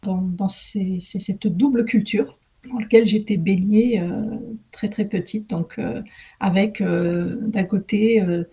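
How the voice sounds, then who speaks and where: A woman interview guest from northern France, speaking conversationally and haltingly, often repeating words as she goes. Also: mid-range voice, steady tone, mellow, breathy edge. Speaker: podcast guest, recruitment executive.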